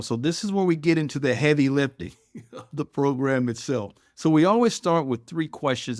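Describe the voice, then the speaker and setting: A man's voice: mid-range voice, no echo. Man hosting a podcast, close dry sound. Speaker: podcast host. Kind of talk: podcast introduction and interviewing.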